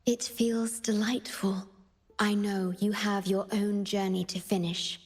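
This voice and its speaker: An actress playing a gentle, devoted young woman guardian in a video game, speaking softly and tenderly in measured scripted dialogue. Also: mid-range voice, level tone. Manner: soft, breathy, tender, measured.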